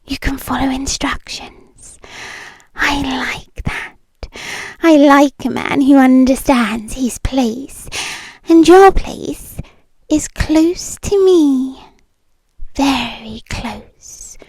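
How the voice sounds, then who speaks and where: A British woman playing a possessive, wealthy, menacingly sweet yandere in an intimate ASMR roleplay, drifting between soft deliberate speech and breathy whispers. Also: high-pitched, no room sound, rough recording. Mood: commanding, pleased. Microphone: close microphone, dry.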